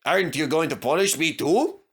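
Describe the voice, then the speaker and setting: A man's voice: on the high side, expressive, fairly quick, gravelly, voice pushed up. A man voicing a sulky, jealous steam engine who grumbles and complains, in a children's storybook. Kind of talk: children's storybook character acting.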